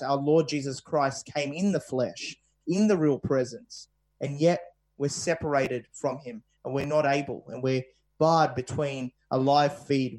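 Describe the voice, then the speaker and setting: A man hosts a radio interview. His voice sits at an ordinary middle pitch. He addresses listeners and his guest in the manner of a presenter. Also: a little mellow. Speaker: radio show host.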